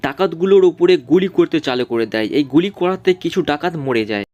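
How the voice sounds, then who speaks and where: A man's voice: a little high, animated, fast, a little mellow, lo-fi sound. A man narrating a scripted story for a cartoon.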